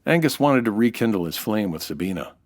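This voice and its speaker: A hard-boiled American nightclub owner in a forties-style radio mystery. His voice sits low, and he talks in a dry, world-weary, wisecracking way. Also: lively intonation, brisk. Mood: explaining. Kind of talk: 1940s detective radio drama pastiche.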